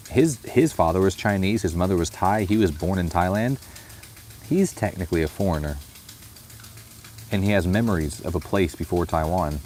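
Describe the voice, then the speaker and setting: A man talking in a personal vlog, thoughtful and unhurried, often adding "you know". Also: low voice, darkish tone. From United States. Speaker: vlogger. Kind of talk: reflective personal vlog talk.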